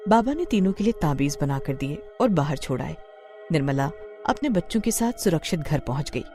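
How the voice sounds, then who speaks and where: A woman's voice: sits low, expressive, fairly quick, mellow, soft background music. A woman narrating a scripted horror story as a storyteller.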